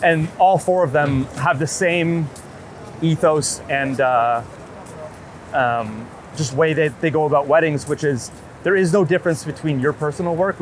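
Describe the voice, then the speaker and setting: Higher-voiced man chatting on a noisy street. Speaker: street and wedding photographer being interviewed. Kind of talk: casual interview chat. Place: outdoors on a city street. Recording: street noise, passing traffic.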